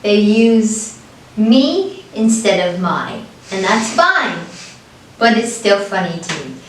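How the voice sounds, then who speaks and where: A woman's voice: mid-range voice, sing-song, slow pace. A young American woman chatting casually as a co-host, telling stories playfully, laughing and acting out what people said. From United States. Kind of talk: casual chat. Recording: echoey room, voice a bit far from the mic, faint hiss.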